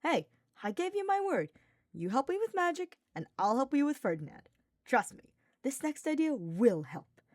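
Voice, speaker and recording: A woman's voice: high-pitched, very animated, normal speed, a little mellow, no echo, pitched above the performer's own voice. A woman acts out a young knight for a fantasy audio story. He is cheerful, teasing and full of schemes, talking like a casual old buddy in a lifted voice. The sound is very dry. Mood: eager, confident.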